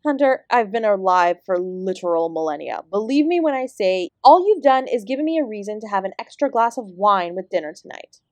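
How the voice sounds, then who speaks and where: A woman's voice: mid-range voice, animated, a little fast, hardly any pauses, darkish tone, dry recording, lightly acted. A woman playing an ancient celestial dance teacher in an audio roleplay, sarcastic and exasperated, speaking with sardonic, sweary emphasis. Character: ancient female apsara dance guru, sarcastic, exasperated, fiercely independent. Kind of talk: scripted audio roleplay addressed to the listener.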